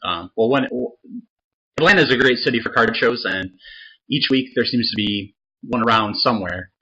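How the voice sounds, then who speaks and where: A man's voice: medium pitch, moderate pace, bright, forceful tone, slightly rough, highs cut off. A man co-hosting a casual podcast in a laid-back way, laughing often and giving short replies. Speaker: podcast co-host. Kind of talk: casual chat.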